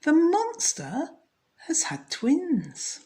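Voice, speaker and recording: A woman's voice: high-pitched, wildly swooping pitch, very slow, mellow, voice pushed up, heavily acted. A woman voicing a caring, fretful, easily amazed wife in a children's storybook, exclaiming expressively.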